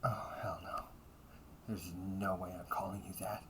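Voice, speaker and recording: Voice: deep voice, steady tone, a little slow, a bit bright. Flustered delinquent butler-cafe waiter over background noise, acted out. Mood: muttered, threatening. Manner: stiffly formal service politeness, slipping into muttered threats. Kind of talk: amateur audio roleplay.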